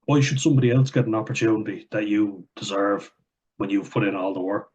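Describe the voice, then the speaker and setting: Young man, lowish husky voice, blunt and sweary, reading out comments on a dull lo-fi recording. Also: a little fast, darkish tone. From Ireland. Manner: blunt and sweary, with frequent fillers. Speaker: vlogger. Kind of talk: casual chat reading viewer comments aloud.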